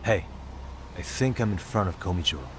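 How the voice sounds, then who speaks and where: Casting: level-headed investigator for a game, deep, serious, all business. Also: male voice, lively intonation, brisk, noisy background. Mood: focused, calm. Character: determined, level-headed male detective. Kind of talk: dramatic video game voice acting.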